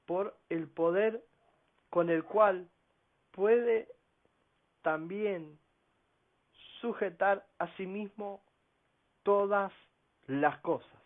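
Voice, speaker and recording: A man giving a slow, earnest radio sermon as preacher, often tagging his points with a questioning "no?". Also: high voice. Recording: phone-like narrow band.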